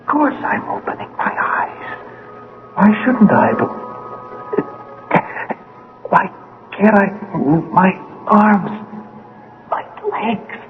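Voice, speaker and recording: An actor playing a brilliant, impatient young male scientist in a radio drama, speaking with intense, deliberate delivery. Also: high voice, sing-song, full character voice. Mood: indignant, confused. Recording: muffled old radio broadcast, music behind.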